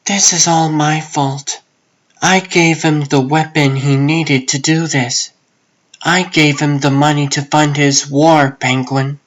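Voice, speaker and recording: A man voicing a guilt-ridden but determined woman in a comic dub, soft and earnest. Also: on the high side, little pitch movement, slow, muffled recording. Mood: guilty, worried. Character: guilt-ridden female antiheroine, anxious yet determined.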